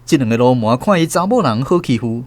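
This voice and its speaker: A man's voice: mid-range voice, a little fast. A man narrating a radio drama story as the show's host. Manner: lively storytelling.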